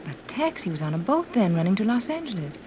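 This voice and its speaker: A woman's voice: medium pitch, sing-song, fast, close to an everyday voice. A woman playing a sensible, concerned middle-aged mother in a 1930s radio adventure serial. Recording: muffled, noisy 1930s radio recording.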